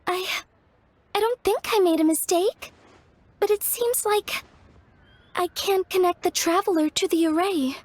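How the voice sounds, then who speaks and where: A woman's voice: very high-pitched, a little slow, long pauses. A woman voicing an earnest young craftswoman in a video game, explaining things carefully. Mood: worried.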